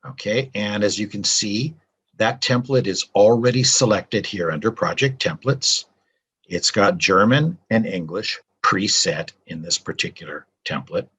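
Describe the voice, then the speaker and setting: An older man explains software from his home office in a relaxed, chatty way, full of ums and uhs. His voice is low and husky. His pitch moves up and down freely as he talks. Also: unhurried, low-quality recording. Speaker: translator demonstrating software. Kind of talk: casual screen-recorded tutorial.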